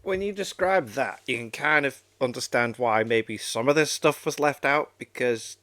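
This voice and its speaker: A man's voice: mid-range voice, normal speed, fairly bright tone. A man hosting a reaction video with casual, off-the-cuff commentary.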